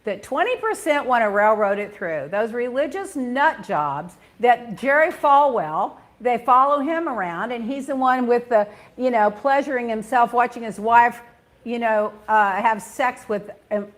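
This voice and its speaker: A middle-aged woman hosting a talk show, chatting casually about gossip and news with blunt opinions and quick asides. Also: on the high side, lively intonation.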